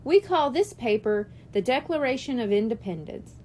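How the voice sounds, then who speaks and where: Woman reading as playful, high-voiced schoolteacher man. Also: lively intonation, moderate pace, a little mellow. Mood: patient, explaining. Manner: explanatory, teasing. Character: cheerful male first-grade teacher, playful, patiently explaining history. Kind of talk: children's audiobook character reading.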